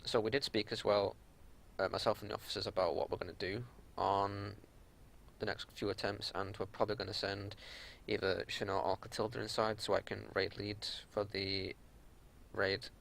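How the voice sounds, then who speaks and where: A man with a low-pitched voice and a heavy creak talks through game footage as a raid leader. He explains tactics off the cuff in a plain, matter-of-fact way. There is faint noise and rumble in the home recording. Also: fairly bright tone. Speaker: raid leader giving a strategy walkthrough. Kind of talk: unscripted gameplay commentary.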